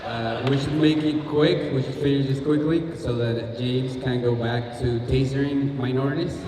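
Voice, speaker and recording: A man delivering a stand-up roast with punchy, deadpan insult jokes. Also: mid-range voice, normal speed, noisy background. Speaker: roast comedian. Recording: echoey hall, distant mic, crowd. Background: audience laughter.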